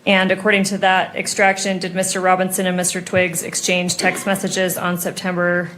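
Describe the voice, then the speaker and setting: Even-toned American woman, formal attorney. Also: lowish voice, moderate pace. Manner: formal, methodical, precise. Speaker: prosecuting attorney examining a witness. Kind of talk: courtroom questioning. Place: courtroom. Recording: noisy courtroom audio.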